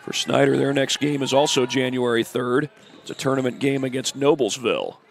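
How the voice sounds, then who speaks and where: Congested man calling high school basketball. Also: brisk, gravelly. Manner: steady, informative play-by-play with stat and schedule asides. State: congested from the flu. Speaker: play-by-play announcer. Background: faint gym ambience, ball bouncing.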